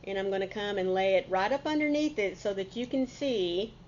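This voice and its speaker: A woman's voice: medium pitch, lively intonation, brisk, a little mellow, highs cut off, slight background noise. A middle-aged woman, a bit congested from a cold, chatting casually and folksily to camera as a crafting channel host.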